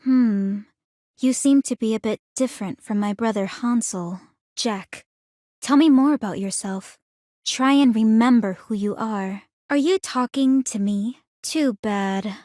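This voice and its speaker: An actress voicing a calm, clinically curious young woman in a video game, speaking in a formal, measured, coolly teasing way. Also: a little high, no echo, clean studio sound, natural voice. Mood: detached, faintly menacing. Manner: composed, measured, formal, inquisitive. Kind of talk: scripted video game character voice lines.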